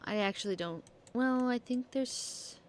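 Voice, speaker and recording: A woman's voice: on the high side, unhurried, slight background noise. A woman giving casual gameplay commentary as a streamer, talking to herself playfully and swearing casually. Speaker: game streamer.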